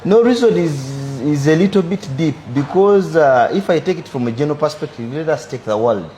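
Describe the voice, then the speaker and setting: A young Ugandan businessman in his twenties, answering interview questions in a measured, reflective way. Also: fairly high pitch, expressive, mellow, a little hiss or hum, low-quality recording. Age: 20s. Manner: measured, philosophizing, repeats "for me, I believe". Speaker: businessman guest.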